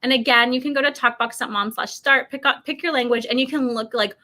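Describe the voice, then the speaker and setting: A woman in her thirties with an American accent and a fairly high, very bright voice. She presents a product in a casual, chatty way, slipping into animated mimicked quotes and peppering her talk with "like.". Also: fast talker. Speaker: company representative presenting a product.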